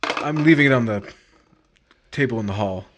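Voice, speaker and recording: A man's voice: medium pitch, normal speed, background noise, close to an everyday voice. A man acting in 1950s-style radio drama as a despondent husband who has left his marriage, speaking hesitantly and trailing off. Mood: bitter, resigned.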